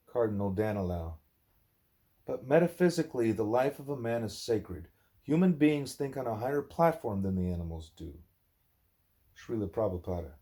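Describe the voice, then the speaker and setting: A man reading a spiritual dialogue aloud at home, speaking deliberately and sometimes correcting himself. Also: mid-range voice, dark tone. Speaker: book reader, parent.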